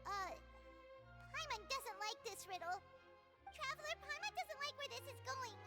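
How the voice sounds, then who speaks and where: A woman voicing a tiny, excitable, naive fairy companion in an animated video game, with loud music behind. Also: very high-pitched, moderate pace. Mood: alarmed. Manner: speaks of self in third person.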